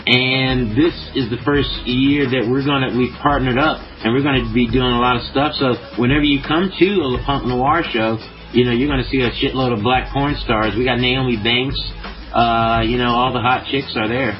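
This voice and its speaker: A man's voice: mid-range voice, fairly even intonation, normal speed, dull audio, soft background music. A man guest on a podcast, talking in a laid-back, rambling way, full of swearing and fillers. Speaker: podcast guest, show promoter. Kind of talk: casual chat.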